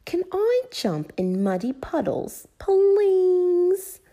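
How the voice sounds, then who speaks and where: A woman plays a little girl piglet from a children's storybook, eager and a bit bossy. The voice is high and childlike, swooping up and down with exclamations. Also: very animated, drawn-out words, dark tone, exaggerated voice.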